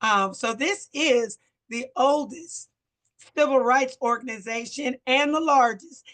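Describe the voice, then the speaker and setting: An older woman from Missouri, a bit hoarse, giving an earnest talk at a community meeting as a chapter president. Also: sits high, a little slow, fairly bright tone. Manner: earnest, explanatory, short phrases with fillers. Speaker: guest speaker, local civil rights chapter president.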